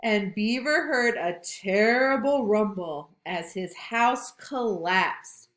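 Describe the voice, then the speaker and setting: A woman reads a picture book aloud to children, slow and expressive, often stopping to ask questions. Her pitch rises and falls widely. The voice carries a roomy echo. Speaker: read-aloud storyteller.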